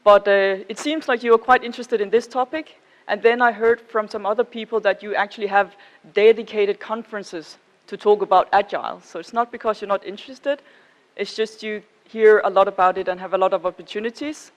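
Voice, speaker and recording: A woman with a Danish accent speaks as a conference presenter. Her voice sits at a middling pitch for a woman. She talks informally and in a measured way, addressing her listeners directly. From Denmark.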